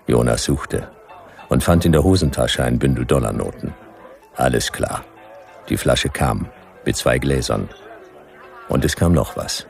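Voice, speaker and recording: An actor playing a hardboiled, laconic detective who narrates drily and deadpan in a radio play, with a gravelly voice. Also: male voice, very deep voice, a little slow, pauses often, soft background music, heavily acted. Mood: dry, deadpan. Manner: dry, deadpan. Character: hardboiled laconic male detective narrating his Western ordeal. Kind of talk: radio play first-person noir narration.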